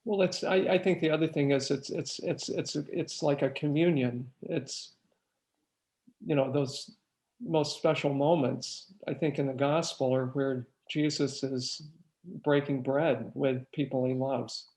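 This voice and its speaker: Medium-pitched man, tentative in discussion. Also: fairly even intonation, unhurried, a little mellow. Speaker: Bible study participant. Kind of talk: casual group discussion.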